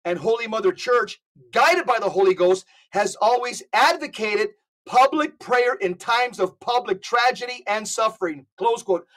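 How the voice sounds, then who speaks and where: A man's voice: high voice, moderate pace, fairly bright tone, low-quality recording. A man speaking as a podcast guest, giving impassioned religious talk in an emphatic, exhortatory way and reading out quotations.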